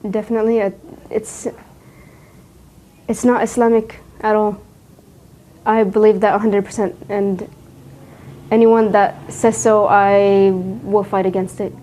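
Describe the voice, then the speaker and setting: A woman in her twenties from the United States in an interview, speaking softly and hesitantly and often saying "basically". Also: mid-range voice, little pitch movement, measured pace, long pauses, a little hiss or hum. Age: 20s. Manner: soft, hesitant, repeats "basically". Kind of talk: recorded interview.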